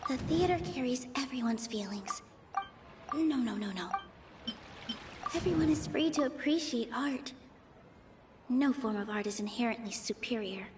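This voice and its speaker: Earnest young woman, high voice. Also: expressive, normal speed, pauses often, over music. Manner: earnest and persuasive, rehearsing arguments. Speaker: game character, dancer. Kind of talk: voiced game dialogue.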